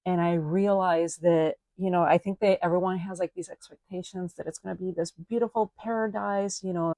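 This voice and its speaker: A woman's voice: lowish voice, warm tone, no room sound, poor audio. A woman from Spain, probably in her forties, hosting a solo podcast and talking in a reflective, chatty way. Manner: reflective, chatty, frequent "you know" and "like". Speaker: podcast host. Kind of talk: personal solo podcast monologue.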